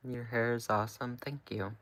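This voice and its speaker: A man's voice: mid-range voice, fairly even intonation, normal speed, fairly bright tone. A young man from California with an American accent, chatting casually on a livestream and giving laid-back, offhand replies to viewers. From California, United States.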